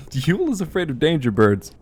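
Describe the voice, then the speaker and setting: A man's voice: on the high side, wildly swooping pitch, normal speed, darkish tone, breathy edge, full character voice. A man voicing a gleeful soldier in an audiobook, his voice thick with humor. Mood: gleeful, amused. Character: amused male soldier gleefully watching a fight. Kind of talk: fan audiobook character acting.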